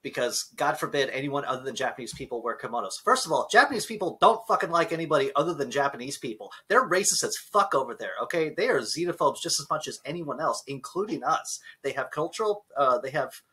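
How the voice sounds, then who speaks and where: A young man with a husky, bright edge to his voice holds forth on a casual podcast. He is blunt and profane, and he lectures with strong opinions. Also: medium pitch, expressive, fast, few pauses. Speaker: podcast co-host.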